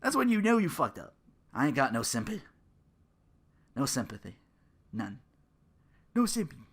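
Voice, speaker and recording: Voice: medium pitch, very animated, moderate pace, husky. A podcast host in their thirties on a casual comedic rant, sarcastic and profane, sometimes slipping into mocking quotes. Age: 30s.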